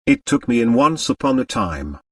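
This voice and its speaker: A man voicing a rich, blunt American father in an audiobook, bitter and emphatic in a gruff manner. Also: mid-range voice, wildly swooping pitch, normal speed, dry recording, clean studio sound, far from the mic, full character voice. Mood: savage. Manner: gruff, emphatic, plain-spoken.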